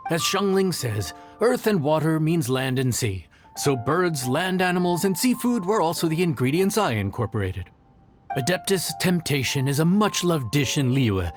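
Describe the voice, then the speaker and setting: A sincere young male chef from a video game, with an expressive, mid-pitched voice. He is earnest and a bit nervous, with music clearly playing behind him. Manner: earnest, hesitant. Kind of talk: video game character acting.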